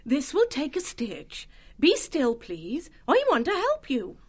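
Sister May, a brisk, no-nonsense healer mouse who fusses and scolds with real care, as a woman plays her. Her voice is very high and lively, bouncing up and down. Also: full character voice. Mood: coaxing. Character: brisk, bossy kindly female healer mouse. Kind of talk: audiobook character voice.

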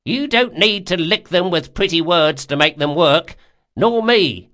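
A man voicing a brusque, sharp-tongued old woman, a master artificer in a fantasy audiobook. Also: high-pitched, animated, unhurried, voice pushed up. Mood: brusque, impatient. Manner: brusque, exclamatory, scolding.

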